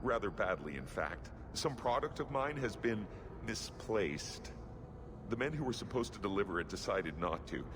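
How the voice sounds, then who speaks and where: A man's voice: fairly low pitch, sing-song, moderate pace, noisy background. An actor playing a nervous, timid male dwarf merchant and smuggler in a fantasy video game, flustered, polite and evasive. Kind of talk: video game cutscene acting.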